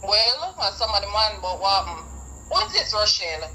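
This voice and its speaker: A woman's voice: mid-range voice, very animated, moderate pace, pushed and bright, poor audio. A young Jamaican woman in a casual phone conversation, guarded and answering with short questions in Patois. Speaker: prank call recipient.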